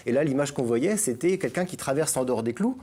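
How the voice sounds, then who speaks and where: Man, middle-aged, hesitant radio guest, warm dark tone, husky. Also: mid-range voice, animated, quick pace. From France. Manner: hesitant, many filler sounds and restarts. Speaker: guest columnist on digital topics. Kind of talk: radio chat segment.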